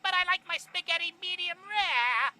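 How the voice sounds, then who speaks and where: A woman's voice: very high-pitched, moderate pace, bright, forceful tone, a little hiss or hum, exaggerated voice. A woman in a fan audio drama voicing a naive, boastful male skeleton cook with a pompous, theatrical delivery. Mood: proud, indignant.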